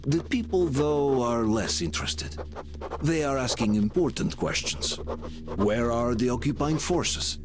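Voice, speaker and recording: A man voicing a calm soldier narrator. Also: medium pitch, a little slow, music in the background, close to an everyday voice. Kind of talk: scripted game narration.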